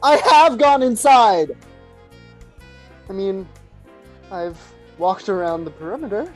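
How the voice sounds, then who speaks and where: A young male psychic, a tryhard in an audio drama. The voice has a medium pitch and comes across eager, earnest and easily flustered. Soft music sits underneath. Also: expressive, slow. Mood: defensive. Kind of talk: scripted audio drama acting.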